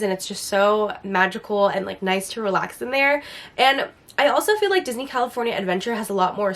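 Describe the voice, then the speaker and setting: A woman with a slightly raspy, hoarse voice from getting over the flu, chatting enthusiastically through a casual Q&A vlog with lots of "like" fillers. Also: mid-range voice, fairly bright tone. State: recovering from a flu, a bit hoarse. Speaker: lifestyle vlogger.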